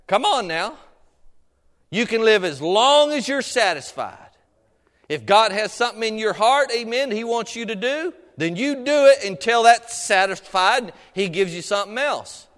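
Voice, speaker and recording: High-pitched male preacher in a reverberant hall. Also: lively intonation, a little slow, a bit bright. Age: middle-aged. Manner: exhortatory, punctuates points with amen and questions to congregation; folksy, colloquial phrasing. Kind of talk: sermon. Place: preaching to a congregation in a large room. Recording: reverberant hall with long echo.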